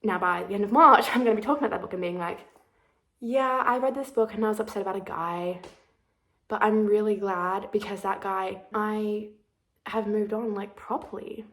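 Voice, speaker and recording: A woman's voice: mid-range voice, normal speed, fairly bright tone. A woman of about twenty talking casually and confessionally as a vlogger, with a dramatic, rambling, self-deprecating manner. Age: about 19, nearly 20. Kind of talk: casual confessional chat to camera.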